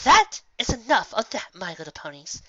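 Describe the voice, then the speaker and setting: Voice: medium pitch, wildly swooping pitch, normal speed, pushed and bright, no echo, muffled recording, pitched above the performer's own voice, exaggerated voice. A narrator voicing a patient female pony schoolteacher in a cartoon story, with forced authority and restrained frustration. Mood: strained authority.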